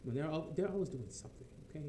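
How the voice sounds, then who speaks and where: High-voiced man reacting in an echoey room. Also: animated, rapid-fire, warm tone, a little hiss or hum. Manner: stammering, repeats words for emphasis. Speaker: reaction video host. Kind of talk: casual reaction commentary.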